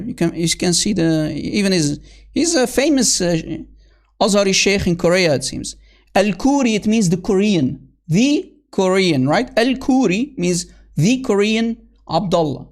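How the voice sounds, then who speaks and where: A man hosting an online debate, heated and scornful, calling opponents names and often addressing viewers as guys and brother. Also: high-pitched. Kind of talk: combative online debate commentary. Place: at his computer.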